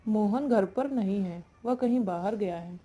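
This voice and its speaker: Woman doing a sly grudging village wife for a story cartoon, complainy and cold, mellow tone. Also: mid-range voice, a little slow, natural voice. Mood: dismissive. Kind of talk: Hindi moral-story cartoon dialogue.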